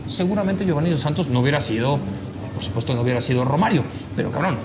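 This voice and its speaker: A man's voice: on the high side, quick pace. Blunt, slangy man in a roomy room. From Mexico. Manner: blunt and profane, heavy slang, gestures a lot. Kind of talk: casual chat. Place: sitting at a table in a small room indoors. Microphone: lapel. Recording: roomy with steady background noise.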